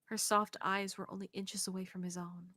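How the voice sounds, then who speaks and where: A woman reading visual novel narration aloud on a game stream in an expressive way, close to the mic. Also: medium pitch, normal speed, no echo. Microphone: close streaming mic, dry sound.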